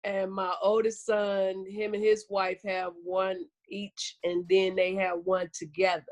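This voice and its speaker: A woman's voice: mid-range voice, completely flat delivery, unhurried. A woman in her fifties with a Southern US accent, chatting as a comedian guest in a folksy, good-humored way. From Arkansas, United States. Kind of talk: casual interview chat.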